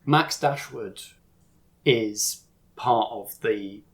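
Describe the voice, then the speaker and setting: Male game master, lower register, informal explanatory narration for tabletop roleplay. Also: drawn-out words.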